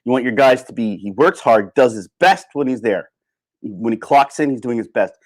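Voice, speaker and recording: A man giving a casual, opinionated livestream rant, sardonic and emphatic, with halting starts and repeated phrases. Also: mid-range voice, expressive. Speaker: livestream host.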